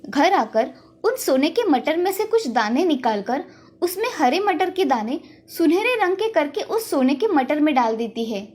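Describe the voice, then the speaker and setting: A woman's voice: sits high, a little mellow. A woman narrating a scripted children's story as a storyteller.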